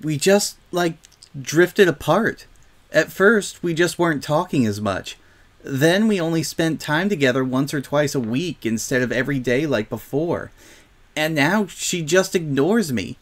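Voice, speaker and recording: A man voicing a young, prim pony girl who is defensive and vulnerable, speaking haltingly in a storybook. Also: fairly high pitch, sing-song, fairly bright tone, pitched above the performer's own voice. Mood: tearful, hurting. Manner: hesitant, halting. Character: haughty young filly turned sad, defensive, vulnerable.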